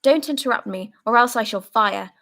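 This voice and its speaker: A woman voicing a distraught male soldier who speaks in a hoarse, quivering voice, ranting and accusing as she reads a story aloud. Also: on the high side, moderate pace, a bit bright. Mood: anguished. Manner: agitated outburst, pressing and accusing.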